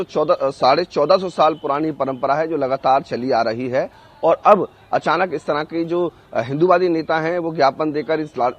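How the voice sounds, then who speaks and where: A male news correspondent reports live. His voice is somewhat high for a man, and he speaks haltingly, often pausing to find the next word. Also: dull audio. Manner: halting, searches for words with frequent hesitations.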